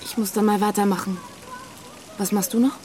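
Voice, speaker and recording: An actress plays a searching, disillusioned young woman in a naturalistic radio play. Her voice sits at a middle pitch and she speaks frankly and plainly. A faint ambient bed lies behind her. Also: fairly even intonation, a little fast, warm tone, slight background noise, natural voice. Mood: casual. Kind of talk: naturalistic radio drama dialogue.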